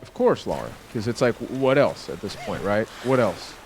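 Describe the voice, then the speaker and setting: A man doing live let's play commentary as a gaming streamer, chatting casually with animated, exclamatory reactions. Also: on the low side, moderate pace. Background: faint game music and sounds.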